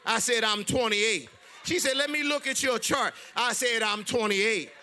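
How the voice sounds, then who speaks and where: A man preaching a sermon in a church, emphatic and loud, mixing humor with exhortation. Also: very high-pitched, a little slow, a little hiss or hum. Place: church sanctuary.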